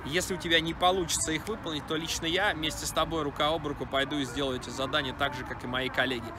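A man in his thirties, a dating coach, pitching his training straight to camera in a brisk, persuasive, slangy way, filmed close up in an outdoor park. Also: steady tone. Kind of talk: direct-to-camera sales pitch. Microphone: camera at close range. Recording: outdoor street noise behind.

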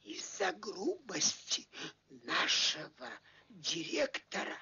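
A woman voicing a melancholy, lovelorn, gentle puppet clown in an old Soviet cartoon, with a mournful, sighing delivery. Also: on the low side, very animated, takes it slowly, slightly rough, full character voice. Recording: dull old film soundtrack, faint music.

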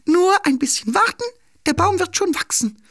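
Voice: very high-pitched, expressive, moderate pace, a bit bright, heavily acted. An actor voicing a naive, stubborn and playful little boy king in a children's cartoon. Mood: eager, confident. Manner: playful, self-assured, naive.

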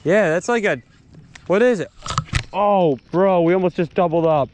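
A young man chatting casually and excitedly in bro-heavy slang while fishing outdoors. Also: high voice, moderate pace, background noise. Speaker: vlogger angler. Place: outdoors beside a pond. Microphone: body-worn action camera.

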